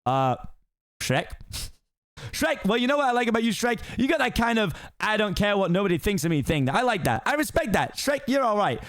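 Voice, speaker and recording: A man voicing an excitable, fast-talking male donkey who chatters nonstop. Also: high-pitched, no room sound, clean studio sound, voice pushed up. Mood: gushing.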